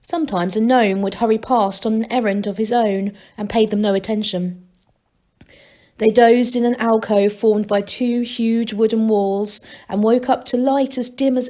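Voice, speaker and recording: A woman reading a children's novel aloud as a storyteller, in expressive scripted narration. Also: on the high side, a little slow.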